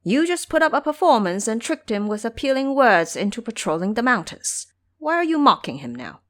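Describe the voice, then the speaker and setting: A woman playing an earnest, fretful male monk master in an audio drama, speaking in a measured, admonishing way. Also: a little high, sing-song. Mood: scolding.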